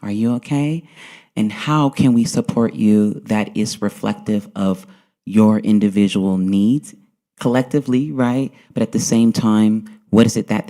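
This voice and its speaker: A man's voice: fairly low pitch, dark tone. A man speaking earnestly as a panelist at a conference, often checking in with "right?". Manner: earnest, frequent "right?" tags. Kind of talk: panel discussion. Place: conference session.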